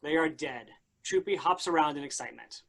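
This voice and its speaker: A woman's voice: deep voice, brisk, fairly bright tone. A woman giving scripted narration, reading out stage directions for a play.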